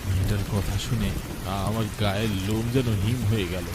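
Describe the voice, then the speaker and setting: A man's voice: lowish voice, steady tone, fairly quick, very noisy, close to an everyday voice. A man playing an anxious young grandson in a cartoon ghost story, with a nervous, hesitant manner. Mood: uneasy. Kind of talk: first-person horror story narration and dialogue. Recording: loud rain and thunder over voice.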